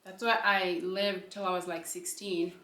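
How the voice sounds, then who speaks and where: A woman's voice: lowish voice, level tone, normal speed, fairly bright tone, a little hiss or hum. A young woman, chatting to the camera between bites of food while she hosts her channel. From South Africa. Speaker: channel host. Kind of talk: casual chat while eating. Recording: echoey room, mic at a distance.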